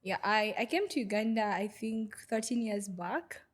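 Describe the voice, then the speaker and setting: A woman's voice: medium pitch, normal speed. A young woman from Goma in the DR Congo, a podcast guest, who tells her story in a hesitant way with many fillers. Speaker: guest, refugee vendor. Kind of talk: podcast interview.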